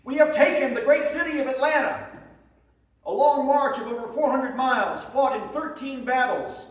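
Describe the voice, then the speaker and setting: A man giving a dramatic reading as a proud, weary Civil War soldier, in measured short phrases, writing letters home. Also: very high-pitched. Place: echoey room at a live presentation. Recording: echoey hall, far from the microphone.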